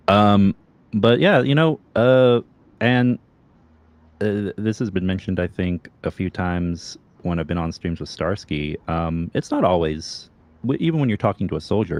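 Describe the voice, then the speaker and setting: A male host in a casual interview, giving long explanatory answers with plenty of "um" and "you know.". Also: low voice. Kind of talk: casual video-call interview.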